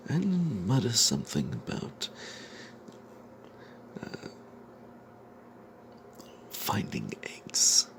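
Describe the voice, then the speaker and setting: Breathy whispering man with gravelly fry. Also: medium pitch, a bit bright, a little hiss or hum, low-quality recording. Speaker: narrator of a fantasy tabletop tale. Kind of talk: whispered ASMR storytelling.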